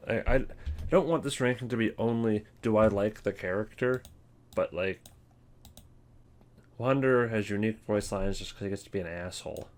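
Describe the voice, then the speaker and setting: A man's voice: fairly low pitch, moderate pace, pauses often. A man giving informal commentary as host of a ranking, hesitant and thinking aloud. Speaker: host ranking game voice lines. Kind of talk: casual commentary on a tier list.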